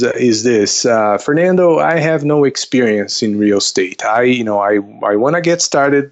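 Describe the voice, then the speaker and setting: A man answering conversationally as an interview guest, haltingly, repeating words as he goes, on slightly lo-fi audio. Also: medium pitch. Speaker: investment counselor, podcast guest.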